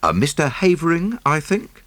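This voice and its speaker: An actor voicing a brisk, teasing male police inspector in an audiobook, jovial, soothing and matter-of-fact. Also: fairly high pitch, big pitch swings, fairly bright tone, dry recording, voice pushed up. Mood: businesslike. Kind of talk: audiobook character acting.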